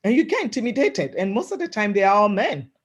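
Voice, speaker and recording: A woman from Africa speaking on a webinar panel, giving an emphatic motivational talk in a loud voice over a video call and often asking "right?". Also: mid-range voice, big pitch swings, fast talker, breathy edge. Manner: loud voice, emphatic, repeats "right?" and "you know".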